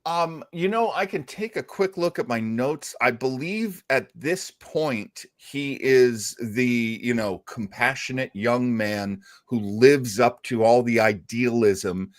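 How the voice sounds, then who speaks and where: A middle-aged or older American man casually hosting a discussion, measured and halting, often pausing mid-sentence. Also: mid-range voice, dry recording, studio quality. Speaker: host of an old-time radio discussion show.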